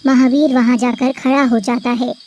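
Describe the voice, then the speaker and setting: A woman narrates a cartoon story in a steady, even storytelling manner. Her voice sits high and clear. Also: normal speed, a little hiss or hum.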